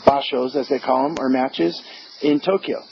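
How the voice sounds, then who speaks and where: A man chatting casually, relaxed and pausing with small hesitations. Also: mid-range voice, creaky. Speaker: guest in a casual chat. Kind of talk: casual chat. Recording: muffled lo-fi recording with background noise.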